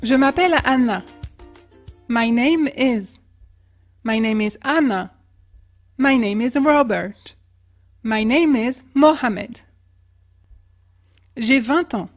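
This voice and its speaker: High-voiced woman teaching English slowly. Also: expressive, faint music behind. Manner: slow, careful model pronunciation of example phrases. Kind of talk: language lesson with example phrases.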